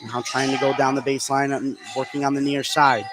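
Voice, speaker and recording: A man's voice: medium pitch, brisk, loud music behind the voice. A man doing live play-by-play radio commentary of a basketball game, his voice lifting as the action heats up. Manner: voice rises excitedly as shots go up. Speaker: play-by-play sports announcer. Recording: clearly noisy gym ambience. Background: sports gym noise.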